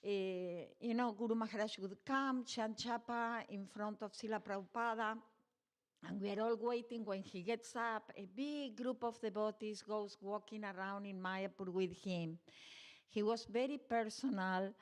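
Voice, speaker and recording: A woman's voice: mid-range voice, measured pace. A woman, sharing fond memories of her spiritual teacher in a heartfelt speech at a temple celebration, with some hesitations. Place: temple hall.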